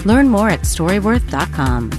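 A woman's voice: medium pitch, sing-song, normal speed, over music. A woman reading a scripted radio sponsor message in an upbeat way. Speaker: sponsor announcer. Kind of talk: scripted ad read.

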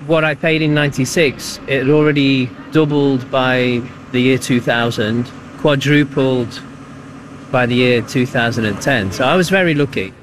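A man's voice: mid-range voice, moderate pace, slight background noise. A man who is a local resident, telling stories in a wry, chatty way. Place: outdoors on a residential street.

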